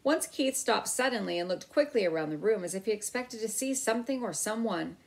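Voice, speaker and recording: A woman narrating a children's novel aloud in an expressive storybook style, acting out the dialogue. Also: medium pitch, a little fast, gravelly.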